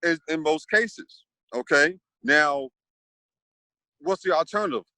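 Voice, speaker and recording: Brief: man, panel host, rambling thoughtful delivery, bright tense tone, dry close sound. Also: on the high side, lively intonation, slow pace, no echo. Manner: thoughtful, rambling, many restarts. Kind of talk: casual panel discussion.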